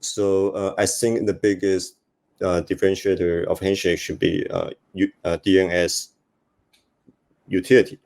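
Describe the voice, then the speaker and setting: Low-voiced, halting Taiwanese man. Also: flat delivery, a little mellow. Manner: halting, hesitant delivery with repeated words. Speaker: conference panelist, investor. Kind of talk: informal panel talk.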